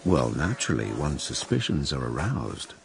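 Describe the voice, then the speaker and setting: Old writer narrating radio drama, very low and creaky, sardonic, conspiratorial. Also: male voice, big pitch swings, background noise, exaggerated voice. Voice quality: gravelly. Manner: wry, conspiratorial, dramatic pauses.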